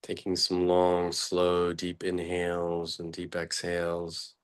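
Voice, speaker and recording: A man leading an unscripted guided meditation as a teacher, speaking haltingly in short phrases with long pauses between them. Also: low voice, monotone, clean tone.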